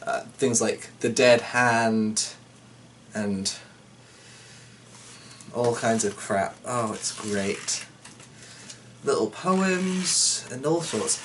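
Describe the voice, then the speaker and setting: A man's voice: medium pitch, extremely slow, long pauses, fairly bright tone. A man giving a dramatic storybook reading, breaking off into chatty, irreverent, sweary asides. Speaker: storyteller reading a folk tale aloud. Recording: distant mic with faint background noise.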